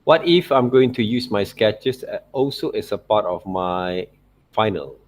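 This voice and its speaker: A man's voice: lowish voice, animated, a little slow. A man giving an informal tutorial as a teacher, often checking in with little tag questions. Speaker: art teacher. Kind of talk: informal screen-share tutorial commentary.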